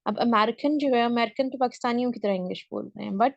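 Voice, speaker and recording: Woman, English tutor, fairly high voice, checks in often, dull dry call audio. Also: fast, gravelly, no room sound. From Pakistan. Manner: explains step by step, checks in often. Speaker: English teacher. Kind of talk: online class lecture. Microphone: video call.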